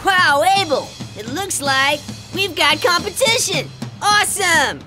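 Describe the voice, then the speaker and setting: Very high, boastful cartoon boy. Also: expressive, a little slow. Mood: excited. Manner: exclaiming, boastful. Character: boastful, excitable young boy footballer. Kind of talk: animated cartoon dialogue. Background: background music.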